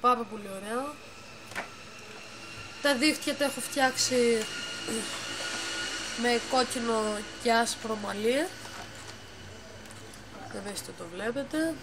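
Μια γυναίκα ξεναγεί χαλαρά σε μια κατασκευή παιχνιδιού, μιλά διστακτικά, διορθώνει τον εαυτό της και εξηγεί βήμα βήμα. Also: female voice, a little high. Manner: halting, self-correcting, explains step by step. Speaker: game builder giving a tour. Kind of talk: casual tour of a game build. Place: dim room at home, by a computer. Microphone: phone camera filming the monitor. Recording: noisy home phone recording.

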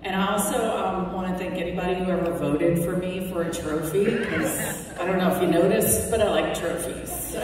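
A woman's voice: fairly low pitch, moderate pace, a crowd in the background. Woman giving a heartfelt speech in an echoey hall. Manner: heartfelt, with wry jokes and lists. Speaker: radio host accepting an award. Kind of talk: acceptance speech. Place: large banquet hall with seated audience. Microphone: podium microphone, recorded from the audience. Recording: echoey hall recorded from far off.

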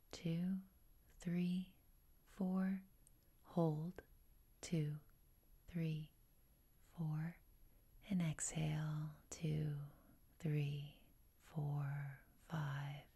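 A woman leading a guided meditation in a gentle, soothing, unhurried way, with a breathy, whispery, husky voice. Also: deep voice. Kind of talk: guided meditation, soft instruction.

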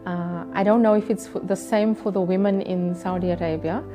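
A woman, gently explaining in an interview at home. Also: lowish voice, steady tone, a little fast. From South Africa. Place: living room, indoors. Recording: music underneath.

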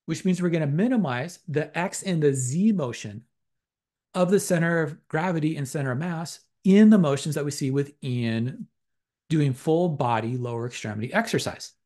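Man, lecturer, slightly high voice, methodical, academic phrasing, slide voice-over. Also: normal speed, warm tone. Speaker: exercise science lecturer.

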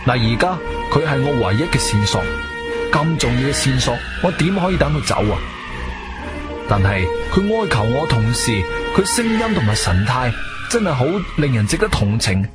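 A man with a Cantonese accent acting a shrewd, firm investigator hero who narrates his own adventure in an expressive audio drama style. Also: mid-range voice, normal speed, natural voice. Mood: gripping, suspenseful. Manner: expressive, emphatic storytelling. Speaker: narrator and lead actor. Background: background music.

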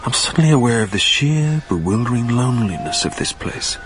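A man's voice: mid-range voice, very animated, fairly quick, noisy background, close to an everyday voice. An actor playing a lonely, troubled dead man who narrates in a brooding, literary manner in a radio drama.

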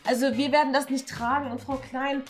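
A disapproving, strict teacher, played by a woman in a comedy sketch. She rattles on quickly in a slightly high voice, nagging and indignant. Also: little pitch movement, music in the background, natural voice. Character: grumpy, strict female teacher, disapproving killjoy. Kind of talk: improvised comedy sketch acting.

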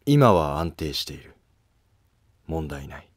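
Man acting ailing emperor, dignified, terse, low-pitched, slow, historical cartoon. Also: sing-song, no room sound, clean studio sound, full character voice. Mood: grave. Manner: terse, grave, commanding. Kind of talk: Japanese historical animated drama acting.

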